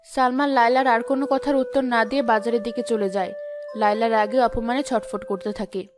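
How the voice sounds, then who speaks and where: A woman's voice: a little high, fairly even intonation, a little fast, over music. A woman giving scripted narration of a fairy tale as storyteller.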